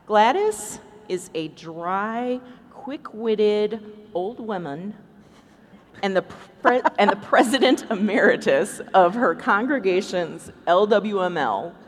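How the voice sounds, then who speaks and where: A woman hosts a comic live show from the stage. Her delivery is slow and highly animated, swinging widely in pitch, and the large hall rings with long reverb. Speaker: podcast host on stage.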